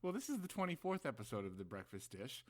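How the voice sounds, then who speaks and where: A man's voice: mid-range voice, very animated, fast talker. A young man co-hosting a casual podcast with playful, teasing banter. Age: young adult. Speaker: podcast co-host.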